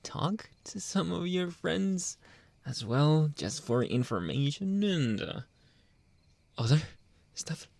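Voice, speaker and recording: A man playing a jealous, controlling young boyfriend in an intimate ASMR roleplay, halting and pleading, often dropping toward a whisper. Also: mid-range voice, vocal fry, no echo, full character voice. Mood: sheepish, evasive. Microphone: close, dry microphone.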